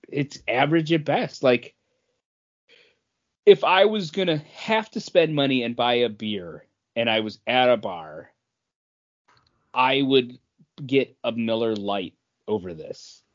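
A man speaks in an average male register, chatting casually as a podcast co-host. He is blunt and opinionated. The recording sounds a little dull and lo-fi. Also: medium pitch. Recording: dull, lo-fi audio.

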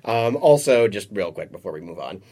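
A man's voice: on the low side, sing-song, moderate pace, mellow. A man co-hosting a casual podcast, rambling with lots of fillers and restarts, a little sniffly from a cold, recorded in a library study room. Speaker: podcast co-host. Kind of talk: casual chat.

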